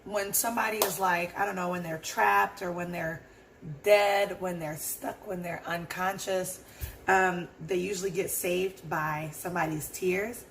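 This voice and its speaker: Hoarse woman musing on her podcast. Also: fairly low pitch, steady tone, unhurried, slight background noise, low-quality recording. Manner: rambling and earnest, with fillers. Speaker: podcast host. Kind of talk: casual solo reflection.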